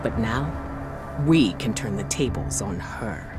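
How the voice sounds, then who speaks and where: A woman playing a resolute, grave commander in a video game, with measured, earnest, commanding delivery. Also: extremely low pitch, animated. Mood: grave briefing. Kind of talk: dramatic video game briefing. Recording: voice under loud game music.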